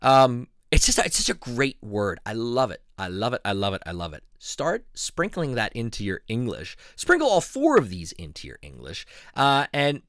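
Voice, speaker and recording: A man's voice: mid-range voice, normal speed, hardly any pauses, no room sound. Playful guy teaching words on his channel, voice jumps all over, dry close mic. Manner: animated, playful, laughs often. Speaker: YouTube language teacher. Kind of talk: casual vlog-style lesson.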